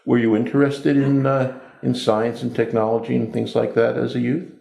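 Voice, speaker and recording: A man's voice: mid-range voice, normal speed, gravelly, roomy sound. A man interviewing an engineer at his home, asking brief questions and prompting him along. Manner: brief prompts and steady acknowledgments. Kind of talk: oral history interview.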